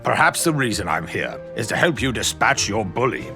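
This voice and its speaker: A man's voice: on the low side, sing-song, moderate pace, vocal fry, over music. An actor playing a pompous, blustering old British statesman in an audio drama, boastful yet kindly, with grand oratorical phrasing. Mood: rallying, resolute. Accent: British English.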